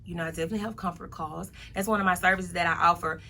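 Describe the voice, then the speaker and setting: A woman's voice: lowish voice, steady tone, very fast, pushed and bright. A woman of thirty-one, speaking as a motivational guest in a casual interview, emphatically repeating her points.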